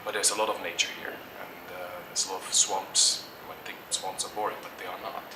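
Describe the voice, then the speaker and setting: A man's voice: low-pitched, flat delivery, fairly quick. A middle-aged man from Germany answers interview questions thoughtfully, hesitating and restarting often. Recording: echoey, distant mic, noisy background.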